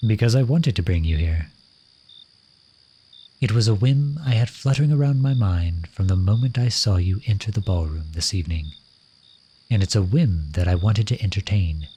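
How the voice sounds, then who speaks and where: A man's voice: lowish voice, expressive, normal speed, mellow, slight background noise, natural voice. A man playing a lovesick, courtly royal in a romantic ASMR roleplay, speaking to the listener in formal, flowery phrases. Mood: courtly, inviting.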